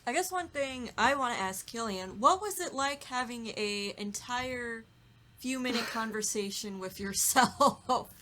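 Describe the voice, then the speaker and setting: A woman with a fairly high, very bright voice chats casually on a podcast panel. She talks informally, as an actor discussing recording sessions with colleagues. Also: unhurried, a little hiss or hum. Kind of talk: casual chat.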